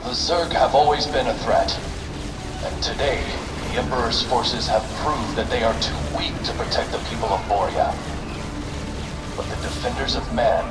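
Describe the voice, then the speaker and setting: A grandiose male militant played by an actor makes a declamatory public broadcast in a game cinematic. He sounds distant and echoing, with loud music behind. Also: medium pitch, normal speed, close to an everyday voice. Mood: rousing.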